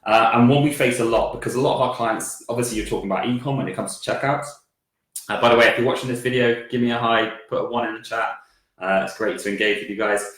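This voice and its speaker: Croaky man in a very echoey room. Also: mid-range voice, quick pace, fairly bright tone. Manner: hesitant, many fillers and restarts. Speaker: company founder answering viewer questions. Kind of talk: live Q&A stream.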